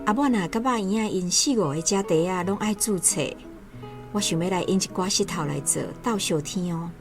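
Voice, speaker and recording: A woman's voice: mid-range voice, a little fast, natural voice. An actress playing a stoic, loving village mother in a radio drama, homely and earnest, with a Taiwanese accent. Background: soft guitar music.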